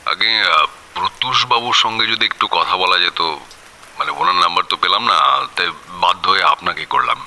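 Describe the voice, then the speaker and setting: An actor playing an anxious, deferential gentleman from a well-to-do family, polite, pleading and hesitant, in a radio drama. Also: low voice, moderate pace, creaky, heavily acted, rough recording.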